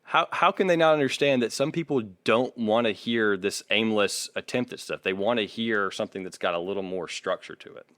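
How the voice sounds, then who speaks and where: A man with a fairly low voice, recorded close and dry. He talks as a podcast co-host, switching between casual opinion and reading aloud, and argues his points firmly. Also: brisk, few pauses, fairly bright tone, no room sound.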